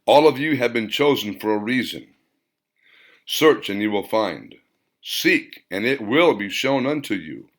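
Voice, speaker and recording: A man voicing a gentle, solemn female spirit in an audiobook, giving blessings in a reverent, measured way. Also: lowish voice, expressive. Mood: solemn revelation.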